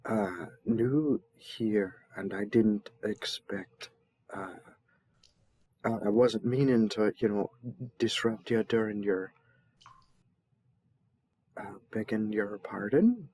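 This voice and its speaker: A man plays a flustered royal envoy in an audio roleplay, low in pitch and very slow to get words out. He stammers politely and defers at every turn, in a close, dry recording. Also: mellow, dry recording. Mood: awkward, hesitant.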